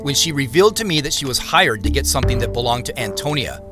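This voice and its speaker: An American actor playing a reflective amateur investigator, narrating an audio drama in a measured, conversational way. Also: male voice, mid-range voice, animated, music in the background, close to an everyday voice. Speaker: narrator and lead character.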